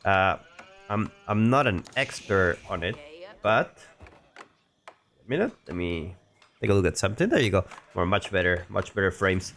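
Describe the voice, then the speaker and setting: A man's voice: low voice, animated, moderate pace, pauses often, fairly bright tone, a little hiss or hum. A man casually commentating a strategy game match, a little sick and talking in a rambling, chatty way. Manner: rambling, chatty, frequent restarts and asides. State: a little sick, voice deeper than usual. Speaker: game caster.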